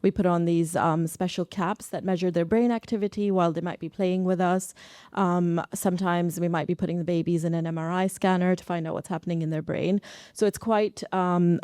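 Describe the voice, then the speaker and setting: A woman's voice: on the low side, dark tone, no echo, clean studio sound. A woman from Pakistan, a psychology researcher, answering informally in a panel discussion. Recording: live event recording.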